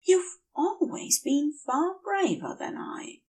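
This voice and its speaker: A woman's voice: sits high, expressive, slow, darkish tone, distant mic, pitched above the performer's own voice. A woman voicing a prim, dazed male angel in an audiobook, speaking with polite, rambling earnestness and longing. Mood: hurried confessing.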